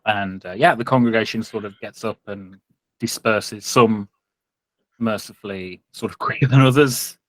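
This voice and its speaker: A man's voice: lowish voice, normal speed, no room sound, low-quality recording. A man narrating a tabletop game as game master, improvising his descriptions with some hesitation.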